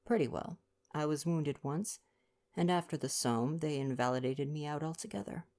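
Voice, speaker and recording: A woman's voice: sits low, moderate pace, darkish tone. A woman voicing a polite, reserved young man, an ex-soldier, in a read-aloud novel. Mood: modest.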